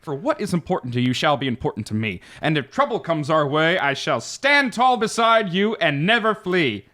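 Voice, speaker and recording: Male actor as florid devoted poet, theatrical, declamatory, very animated, bright ringing tone, audio drama. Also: fairly high pitch, normal speed. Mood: eager, grand. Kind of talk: comedic audio drama acting.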